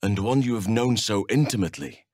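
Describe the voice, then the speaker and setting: An actor playing a wise, gentle and steadfast elf druid in a fantasy video game, speaking in measured, formal phrases. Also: male voice, on the low side, animated, no room sound, studio quality. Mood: earnest, measured. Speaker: video game companion character. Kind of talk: acted fantasy game dialogue.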